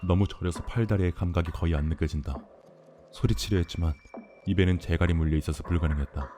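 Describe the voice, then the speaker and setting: A man's voice: deep voice, fast talker, mellow, a little hiss or hum. A man narrating a scripted first-person horror story for an audio drama. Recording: music behind the voice.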